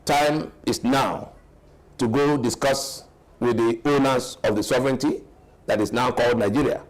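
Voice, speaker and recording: A man's voice: medium pitch, fairly even intonation, normal speed, poor audio. A man delivering a firm political statement.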